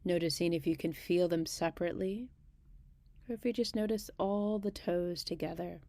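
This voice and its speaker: A woman guiding a meditation in a gentle, unhurried manner, leaving long pauses between short phrases. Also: on the low side, creaky, no echo. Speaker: meditation guide. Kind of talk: guided body scan meditation.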